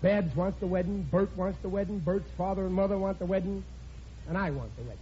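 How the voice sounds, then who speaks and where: An actor playing a blustering, stubborn middle-aged working-class American father in old radio sitcom style, with rough diction and emphatic delivery. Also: high voice, fairly quick, natural voice. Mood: stubborn, defensive. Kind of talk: 1940s radio sitcom acting. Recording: muffled, noisy old radio recording.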